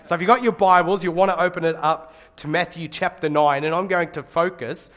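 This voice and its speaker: A middle-aged man with a slightly raspy voice giving a conversational sermon, speaking earnestly and stressing key phrases. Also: a little high, fairly even intonation, brisk, fairly bright tone. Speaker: guest preacher and Bible college teacher.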